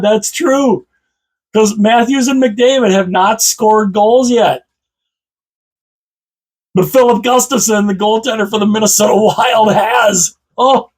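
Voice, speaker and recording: A man with a slightly hoarse voice, chatting casually about hockey as a channel host, rambling and repeating himself with enthusiasm. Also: sits high. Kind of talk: casual solo commentary to camera.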